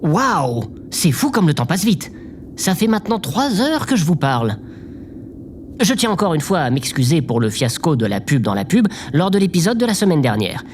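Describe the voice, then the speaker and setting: Man as an animated, insecure amateur podcaster. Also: fairly high pitch, moderate pace, slight background noise. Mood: upbeat, apologetic. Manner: chatty, theatrical, self-effacing. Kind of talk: scripted audio drama.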